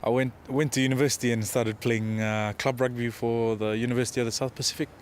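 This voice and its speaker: A man in his twenties with a Fijian accent answers interview questions as a rugby player, in a casual, modest and halting way. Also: mid-range voice, flat delivery, a little hiss or hum. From Fiji. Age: 20s. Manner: hesitant, modest, halting delivery.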